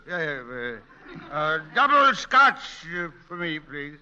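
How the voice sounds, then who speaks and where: High-pitched, blustering tipsy con man. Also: big pitch swings, takes it slowly, music in the background. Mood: drunk bluster. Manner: stammering, hesitant, interjecting bursts. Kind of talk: 1940s radio comedy acting. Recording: dull, lo-fi vintage radio recording.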